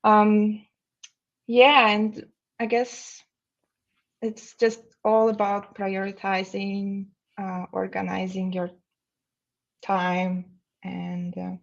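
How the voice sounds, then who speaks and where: Slow-talking Russian-accented woman, dull line. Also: medium pitch, completely flat delivery, creaky. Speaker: interview guest. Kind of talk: casual interview talk.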